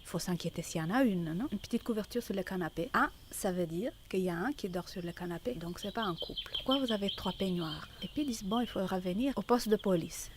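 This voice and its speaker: A woman's voice: mid-range voice, quick pace, hardly any pauses. A middle-aged woman from Italy, giving emphatic, conversational personal testimony amid birdsong and background noise. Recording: clear outdoor noise with birdsong. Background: birdsong and ambience.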